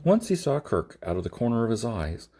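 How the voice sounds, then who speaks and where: A man reading a science fiction novel aloud as scripted narration, with a halting delivery that sometimes stumbles. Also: medium pitch, sing-song, dark tone, slightly rough. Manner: halting, stumbles and rereads phrases. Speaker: audiobook reader.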